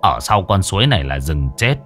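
A man puts on an old mountain shaman in a storybook tale, his voice dropped low and gruff. He sounds weary and grave, with soft music under him. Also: very animated, brisk.

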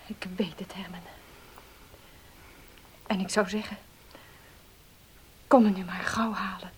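An actress playing a gentle, pious young bride in a radio play, speaking in a soft, breathy, earnest way. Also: female voice, mid-range voice, fairly even intonation, slow. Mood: sad, hushed. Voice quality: breathy, hushed. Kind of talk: radio drama acting.

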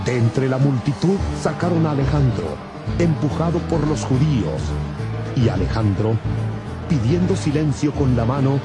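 A man's voice: medium pitch, lively intonation, moderate pace, over loud music. A man narrating scripture from a script for a dramatized audio Bible.

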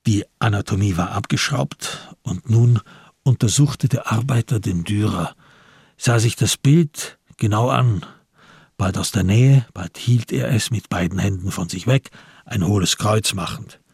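A male narrator of a literary radio drama. His voice is on the low side and heavily husky. He delivers the prose with measured, dramatic weight in a dry, close studio sound. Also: a little mellow, no room sound.

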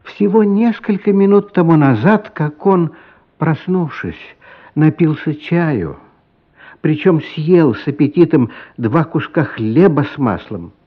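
A man playing the expressive narrator of a radio play, telling a childhood story in a theatrical way that savours each phrase. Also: on the high side, takes it slowly, phone-quality sound. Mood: savouring, dramatic.

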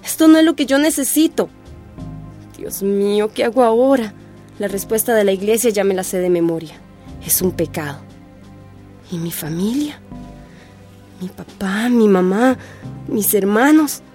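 A woman's voice: mid-range voice, a little slow, pauses often, warm tone, soft background music, lightly acted. A woman acting an anguished, lonely young pregnant woman in a radio soap opera, with an emotional, confiding delivery full of sighing exclamations.

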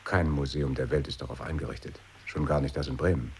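Sceptical male pal, deep bass register, grumbling, colloquial, absurdist radio drama, slight background noise. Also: steady tone, fairly quick, darkish tone. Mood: incredulous. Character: sceptical, grumbling man questioning his old pal. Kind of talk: absurdist radio play dialogue.

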